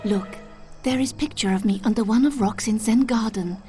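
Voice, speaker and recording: A woman's voice: on the high side, normal speed, dark tone, faint music behind, close to an everyday voice. A woman playing an aloof, wistful former lover in a video game, formal and stilted. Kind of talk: video game cutscene acting.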